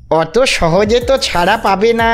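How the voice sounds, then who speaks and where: A woman's voice: medium pitch, unhurried, lightly acted. A woman voicing a sly male fox in a cartoon fairy tale, with a wheedling, coaxing manner. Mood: crafty. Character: sly, pleading male fox, a henpecked husband.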